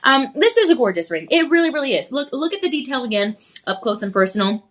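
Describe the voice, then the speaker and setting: Woman, shopping channel host, slightly high voice, congested, chatty live sales pitch, a cough now and then. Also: big pitch swings, fast talker, a bit bright. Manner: chatty, upbeat, reads viewer comments aloud.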